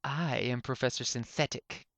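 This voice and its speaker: A man's voice: mid-range voice, normal speed, a bit bright, no room sound, voice pushed up, natural voice. A man voicing an irritated, sardonic unicorn stallion professor in a pony story, curt and mocking in manner. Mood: dry.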